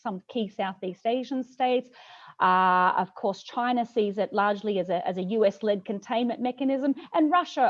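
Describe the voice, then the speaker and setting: A woman's voice: medium pitch, a little mellow. Woman hosting, slightly dull sound. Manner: hesitant, with many fillers and restarts. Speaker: event host, moderator. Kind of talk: formal event introduction.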